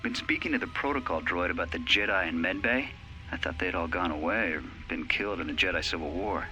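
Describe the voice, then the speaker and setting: Deep, hard-edged facility technician. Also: male voice, fairly quick, sharp tone, faint music behind, rough recording. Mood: businesslike. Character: businesslike male mining facility worker dictating a log. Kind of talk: video game audio log.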